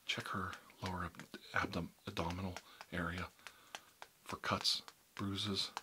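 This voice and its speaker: A man speaking softly and reassuringly, often in a whisper, as a caregiver in a medical roleplay. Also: sits low, level tone, a little slow, noisy background.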